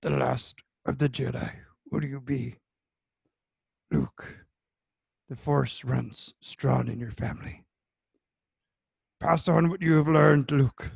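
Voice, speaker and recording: A man voicing a tiny, ancient, dying alien sage, wise and weary, in halting inverted phrases during an amateur script read. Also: mid-range voice, steady tone, no echo, studio quality, exaggerated voice. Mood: dying, urgent. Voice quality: creaky, croaking, husky. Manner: inverted syntax, halting, grave. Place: home room, on a video call.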